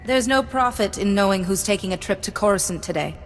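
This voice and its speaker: A woman's voice: medium pitch, expressive, brisk, faint music behind, lightly acted. Woman playing a cool, ruthless warrior in a hall. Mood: haughty. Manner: curt, assured, coldly sardonic. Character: cool, ruthless female warrior mystic, confident and menacing. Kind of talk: video game dialogue acting.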